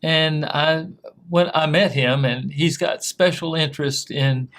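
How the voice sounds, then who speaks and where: Male TV host, slightly high voice, halting questions that restart a lot. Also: fairly even intonation, a bit bright. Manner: halting, repeats words while asking questions. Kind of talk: TV interview.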